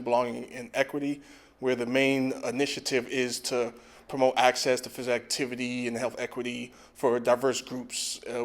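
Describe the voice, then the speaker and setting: A man, a physical therapy professor, giving a relaxed online lecture with frequent fillers. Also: mid-range voice. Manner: relaxed, with frequent "um" and "you know". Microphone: video call.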